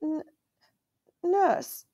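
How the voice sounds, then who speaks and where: A small boy prince in a storybook, chattering in a high, bright voice that climbs well above the reader's own, as a woman reader puts him on. He is eager and innocent. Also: expressive, measured pace. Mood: tearful.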